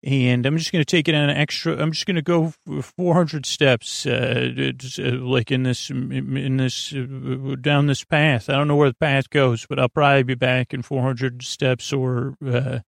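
Creaky-voiced man rambling soothingly. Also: medium pitch, dry recording, clean studio sound. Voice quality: creaky, gravelly. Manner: meandering, halting, digressive, soothing. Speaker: podcast host. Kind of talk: rambling bedtime podcast monologue to lull listeners to sleep.

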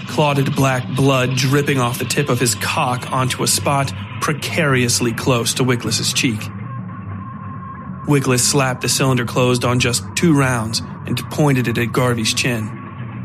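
A man narrates a scripted horror story for a podcast, in a middle-pitched voice. Music runs clearly behind the narration. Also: low-quality recording.

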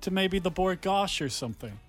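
A man's voice: high-pitched, expressive, fairly quick, faint music behind. A man with an Irish accent co-hosting a radio show, egging his partner on with laughing banter. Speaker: radio host.

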